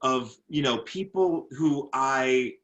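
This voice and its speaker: A man being interviewed as a motivational speaker. He talks earnestly, often repeats words as he gathers his thoughts, and slows down and goes lower when he talks about faith. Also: fairly bright tone, lo-fi sound. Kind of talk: casual interview talk.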